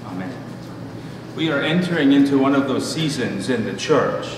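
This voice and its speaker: A middle-aged man preaching a sermon as a pastor, in a measured, deliberate manner with pauses. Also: mid-range voice, animated. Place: church room at a pulpit. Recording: distant mic, echoey hall, noisy background.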